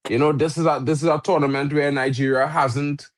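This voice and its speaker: A man hosting a live football watch-along, reacting loudly to chances and chatting with guests, English not his first language. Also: a little high, flat delivery, fairly quick. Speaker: watch-along stream host.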